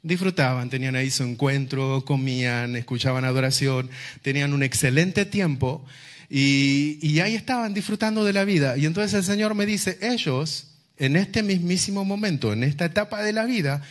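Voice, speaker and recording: A middle-aged man from Argentina with an Argentine accent preaches through personal stories on a handheld microphone, using rhetorical questions, pauses and repetition. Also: fairly high pitch. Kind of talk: sermon with personal storytelling to a congregation. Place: large indoor church auditorium, on stage.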